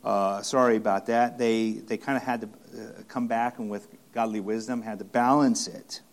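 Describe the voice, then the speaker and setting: A man preaching an expository sermon, speaking haltingly with many restarts, fillers and repeated phrases for emphasis. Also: fairly low pitch, fairly even intonation, creaky. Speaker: pastor.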